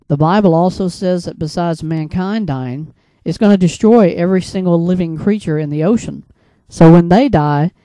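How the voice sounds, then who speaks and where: An American man delivering a religious and political commentary monologue in an earnest, admonishing, halting way, often repeating his phrases. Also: fairly high pitch, mellow, no echo. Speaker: broadcaster.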